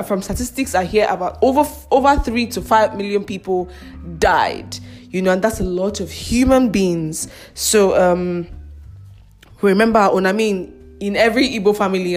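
A young Nigerian woman with a Nigerian accent hosts a casual podcast at an ordinary mid pitch. She runs through the news in a chatty way, laughing often and mocking what she reads out. Faint music sits under her voice. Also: a little mellow. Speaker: podcast host.

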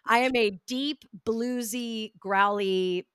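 A middle-aged woman telling stories expressively as an interview guest, with lively asides. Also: a little high, takes it slowly, clean tone, no echo. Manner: expressive storyteller, animated asides. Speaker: guest, communication coach. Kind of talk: casual interview chat.

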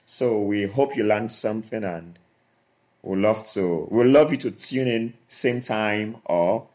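A man with a fairly low voice talks on a podcast about leading yourself. He is earnest and repeats himself, often stopping and restarting a phrase. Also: slow pace, a little mellow. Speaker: co-host. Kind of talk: motivational podcast talk.

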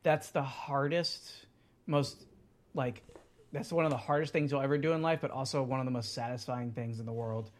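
A man's voice: medium pitch, moderate pace. Rambling man in his thirties. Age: 30s. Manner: rambling, many fillers and restarts. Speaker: podcast guest. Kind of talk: casual chat.

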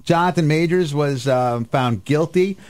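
A man's voice: on the high side, measured pace, darkish tone. A man hosting a casual radio panel talk, rambling and often interrupting himself mid-thought. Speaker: radio show host.

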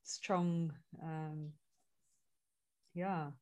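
A woman hosting an interview, talking in a relaxed, hesitant way with restarts. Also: deep voice, very slow, dull audio. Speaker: interviewer, healer. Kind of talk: casual interview talk.

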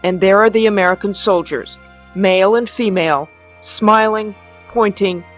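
A woman reads a scripted news report in a mid-pitched voice. Her phrasing is grave and measured out item by item, with faint music underneath.

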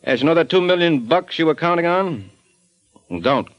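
An actor playing a wry, flippant American private detective in an old radio crime drama. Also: male voice, a little high, animated, normal speed, dry recording, muffled recording, lightly acted. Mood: breezy, smug. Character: wisecracking, unflappable male private detective.

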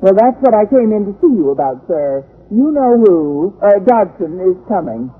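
An actress playing a wry, quick-witted American schoolteacher in an old-time radio comedy, with deadpan, sarcastic delivery. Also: female voice, mid-range voice, breathy edge. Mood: mock urgent. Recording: tinny, noisy vintage radio recording.

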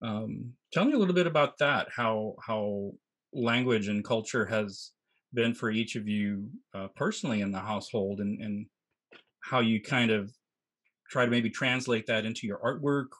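A man hosting a conversation, thoughtful and halting, often restarting his sentences. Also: on the low side, a bit bright. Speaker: host. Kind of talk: interview conversation.

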